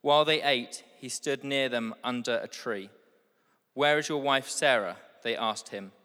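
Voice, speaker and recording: A man reading a scripture passage aloud at a steady, measured pace. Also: mid-range voice. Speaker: scripture reader. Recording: hall with long reverb.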